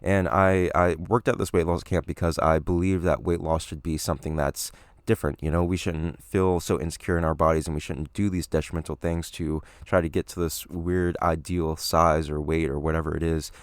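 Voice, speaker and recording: A young man hosting a solo podcast, talking casually with a slightly raspy voice, hedging and filling pauses with "you know" and "um". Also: extremely low pitch, fairly even intonation, no echo. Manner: rambling, hedging, many fillers. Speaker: podcast host.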